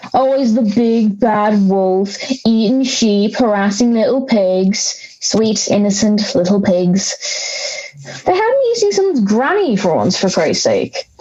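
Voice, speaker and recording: A woman's voice: a little high, darkish tone, lo-fi sound. A woman playing an aggrieved, misunderstood big bad wolf in an audio drama, ranting with sarcastic indignation.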